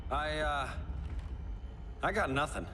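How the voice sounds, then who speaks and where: An actor playing an outspoken hero in a video game, emotive and plain-spoken. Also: male voice, a little high, expressive, slow, noisy background. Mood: wry. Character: outspoken male hero confronting an alien invader. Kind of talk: scripted video game acting.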